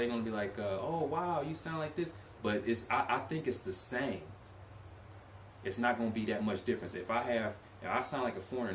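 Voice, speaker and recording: A young man casually rambling to camera in a vlog, with lots of fillers, restarts and asides. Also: lowish voice. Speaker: language-learning vlogger. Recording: echoey room with faint hiss.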